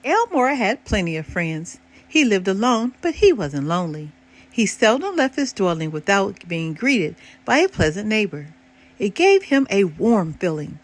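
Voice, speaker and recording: An older woman reading a children's picture book aloud as an expressive storyteller. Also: medium pitch, measured pace. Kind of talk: expressive scripted narration for children.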